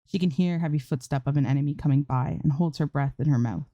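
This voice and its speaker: A woman's voice: sits low, fast talker, mellow, no echo, dull audio. A woman reading fan fiction aloud as an amateur narrator, in scripted storytelling style.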